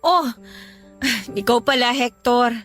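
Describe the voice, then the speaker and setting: A woman's voice: on the high side, slow, a bit bright, faint music behind. A woman playing an exasperated young mother and live-in partner in an emotional Filipino radio drama. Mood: troubled, weary. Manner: emotional, scolding.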